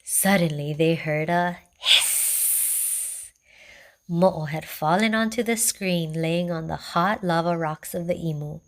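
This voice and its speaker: A woman storyteller reads a children's tale aloud. She takes her time, keeping an unhurried, gentle rhythm. Her voice sits a little low for a woman. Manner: slow, gentle, even reading.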